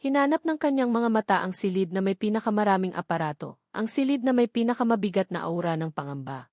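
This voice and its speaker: A woman narrates a dramatic audiobook in an emotive storytelling manner. Her pitch sits in the middle for a woman. The sound is dry, with very little room around it. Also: expressive, fast, darkish tone, no echo.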